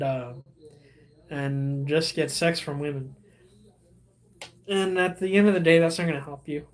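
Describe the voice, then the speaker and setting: A young man, a Spanish speaker, hosting a casual livestream and chatting with viewers in a rambling, halting way full of fillers and restarts. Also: a little high. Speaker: livestream host.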